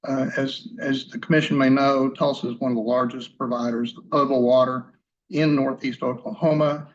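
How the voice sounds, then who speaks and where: A soft-spoken man presents a formal legal argument to a commission, often stopping to restart a phrase. His voice sits in an ordinary middle pitch. The hearing room audio is dull and lo-fi. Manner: soft-spoken, halting with many fillers and restarts. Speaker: attorney.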